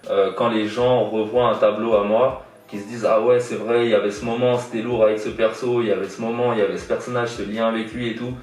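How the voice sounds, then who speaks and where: A young man answers interview questions casually, stalling on fillers and repeating words as he thinks. His voice sits a bit low. The sound is very echoey and distant, with faint music behind. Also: little pitch movement, quick pace, hardly any pauses, a little mellow. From born in Iran, raised in France. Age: young adult. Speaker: guest artist. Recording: echoey room, distant from mic.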